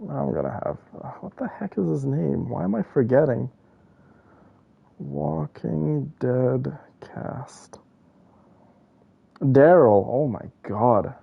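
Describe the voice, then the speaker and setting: A man streaming a game and chatting with his viewers. His mid-pitched voice is creaky with fry, and he rambles through opinions in casual slang. The sound is a little dull. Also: big pitch swings, slow pace, long pauses. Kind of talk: casual chat with viewers while playing.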